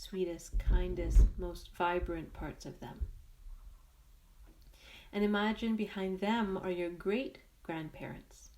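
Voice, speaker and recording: Slow, low-voiced woman guiding a meditation. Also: rough recording. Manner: gentle, unhurried phrases with long pauses. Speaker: meditation guide. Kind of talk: guided meditation, slow and soothing.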